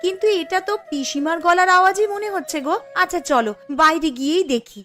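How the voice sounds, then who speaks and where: A woman voicing a sharp-tongued but caring village wife in cartoon dialogue, with a brisk, expressive manner. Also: extremely high pitch, soft background music. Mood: attentive, surprised.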